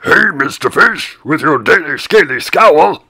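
A complaining storybook jellyfish. The voice is raised, tense and sharp, with a gravelly rasp. It scolds in rhyme. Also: male voice, on the high side, animated, measured pace, no echo, studio quality, voice pushed up, heavily acted. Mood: reproachful. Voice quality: gravelly, strained. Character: complaining male jellyfish with a strained voice.

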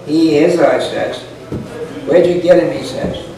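A man's voice: on the high side, steady tone, a little slow. An elderly man in his eighties or older reads a folksy, humorous story aloud in a dry, rustic manner. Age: 80s or older. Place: indoor parlor with a small audience. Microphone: camera microphone at a distance. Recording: echoey, noisy room recording from far off.

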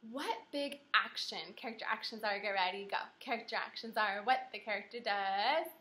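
A young American woman teaching young children in an animated, encouraging way, speaking simply as in an interactive read-aloud lesson. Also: mid-range voice, normal speed. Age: young adult. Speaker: elementary school teacher. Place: small indoor room with whiteboard.

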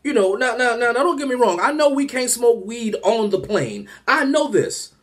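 A man in his thirties doing a comedic rant to camera, animated and exasperated, firing rhetorical questions from a small room at home. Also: very high-pitched, moderate pace.

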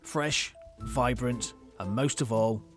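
A man's voice: mid-range voice, slow. Male documentary narrator over music. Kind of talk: scripted narration. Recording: clear music bed behind.

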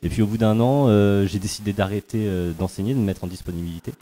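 A man's voice: fairly low pitch, fast talker, dark tone. A man on a festival panel explaining his path from teaching to making videos, thoughtfully and with many fillers. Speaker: panel guest, video creator. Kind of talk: casual panel talk.

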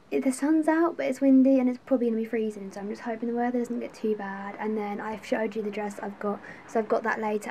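A woman, chatting casually to a phone camera at home in a husky, strained voice, rambling with lots of fillers. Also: medium pitch, dark tone, a little hiss or hum. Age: 20s. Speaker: vlogger. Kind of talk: casual vlog chat. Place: indoors at home, bedroom and kitchen. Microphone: phone camera held at arm's length.

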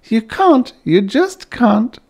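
Guy doing a chirpy adoring wife in a storybook, high pitched, swoops all over, gushy. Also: sing-song, slow, a little mellow, breathy edge, heavily acted. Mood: gushing delight. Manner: gushing, wide-eyed.

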